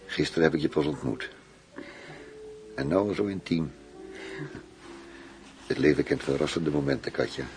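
An actor playing a worldly, self-assured German undercover police chief, teasing and intimate in a radio play. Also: male voice, very deep voice, normal speed, pauses often, a little mellow, faint music behind. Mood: tender, flirtatious.

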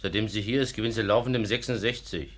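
An actor in a German radio play playing a dim, complaining gangster guard with a gruff manner. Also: male voice, lowish voice, fast, lightly acted. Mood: grumbling.

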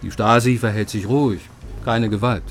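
An actor playing a composed, discreet intelligence informant in a radio drama, explaining in a matter-of-fact, measured way. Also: male voice, fairly low pitch, steady tone, close to an everyday voice. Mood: dry, explanatory.

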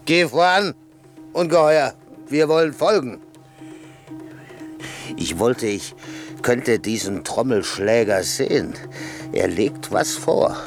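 Pompous boozy servant, mid pitch, really slow talking, bossy, radio play with some music. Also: male voice, expressive. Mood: merry. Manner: pompous, commanding. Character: bossy, self-important drunken servant crowning himself king. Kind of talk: classical German radio play acting.

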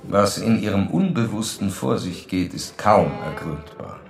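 An actor playing a stern, pedantic psychoanalyst in a radio play, lecturing and condescending. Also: male voice, fairly low pitch, animated, a little slow, creaky, over music. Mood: condescending, analytical. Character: stern, pedantic male psychoanalyst probing a patient.

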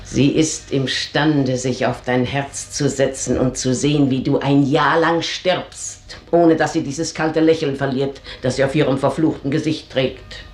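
An actress playing an earthy, bitter older housekeeper in a German radio drama, grumbling with theatrical scorn. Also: female voice, a bass voice, fairly even intonation, unhurried, few pauses, a little mellow. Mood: scornful. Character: bitter, earthy older female housekeeper, gossiping and cursing her mistress. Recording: roomy, echoing radio drama studio sound.

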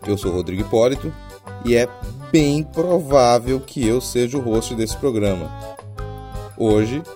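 A man's voice: medium pitch, unhurried, dark tone, soft background music. A Brazilian man talking informally and wryly as a podcast host, in a casual, lightly comic style. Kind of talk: casual podcast hosting with scripted comic skit.

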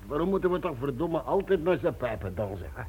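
A man's voice: a little high, very animated, quick pace, breathy edge. An actor playing an impatient, blunt gang henchman who grumbles, in a radio drama. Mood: disgruntled. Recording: dull lo-fi old radio recording.

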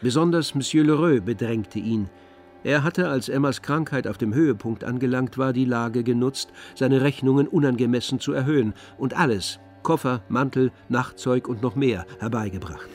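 Male narrator, radio drama, scripted literary reading, measured delivery, mid-pitched voice, faint music behind. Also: darkish tone.

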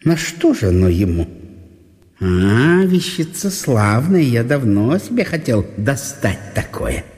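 A man's voice: medium pitch, big pitch swings, slow, darkish tone, breathy edge, full character voice. A man playing a curious, covetous country landowner in a radio monodrama, given to musing murmurs and sudden calls.

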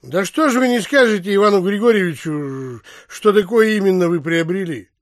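An actor playing a blunt, grumbling middle-aged provincial landowner in an audio drama. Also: male voice, sits high, lively intonation, normal speed, dry recording, clean studio sound. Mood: blunt, insistent. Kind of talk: theatrical audio drama acting.

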